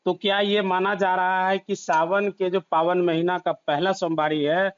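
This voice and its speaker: A man's voice: sits high, little pitch movement, measured pace, muffled recording. A man interviewing a guest for a news outlet over a call, putting probing questions.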